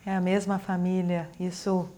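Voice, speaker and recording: Flat-toned woman interpreting steadily. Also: fairly low pitch, moderate pace. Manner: even, steady, unemotional delivery. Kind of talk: consecutive interpreting.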